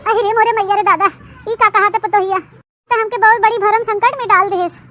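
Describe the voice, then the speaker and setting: A woman as an anxious old village mother-in-law, high-voiced. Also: steady tone, moderate pace, sharp tone, soft background music. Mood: anxious, lamenting. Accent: Awadhi. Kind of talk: Awadhi comedy cartoon voice acting.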